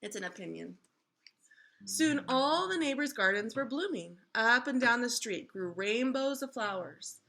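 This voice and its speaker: A woman reads a children's story aloud as a teacher would. Her voice is fairly high and very bright, and her delivery is expressive and instructive. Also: a little slow, vocal fry. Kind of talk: children's storybook read-aloud with questions to listeners.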